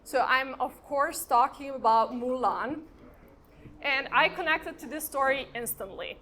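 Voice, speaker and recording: High, bright-voiced woman. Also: unhurried. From Croatia and Serbia. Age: 30s. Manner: conversational, addressing the audience directly. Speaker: conference speaker. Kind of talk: informal conference talk with personal anecdotes. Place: conference event, speaking before an audience. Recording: live event with background noise.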